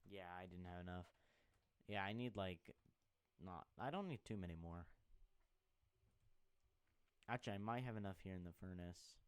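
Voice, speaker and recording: A man's voice: sits low, moderate pace, pauses often, clean tone, no room sound. A man thinking aloud in an offhand way as he plays a game live. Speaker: gaming YouTuber playing live. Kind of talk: live gameplay talk.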